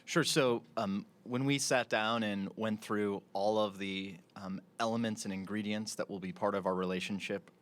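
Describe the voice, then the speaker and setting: A man who is a club executive, giving a measured answer at a press conference with some filler pauses. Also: lowish voice, fairly even intonation.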